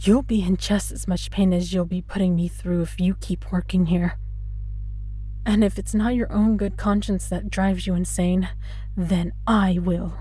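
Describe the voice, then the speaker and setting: A woman playing a vengeful, mocking immortal lab test subject in a dramatic audio roleplay monologue, speaking in a deliberate, taunting way. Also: fairly low pitch, steady tone, dark tone, breathy edge, soft background music. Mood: threatening. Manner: taunting, sardonic, deliberate, addresses listener with mock endearments.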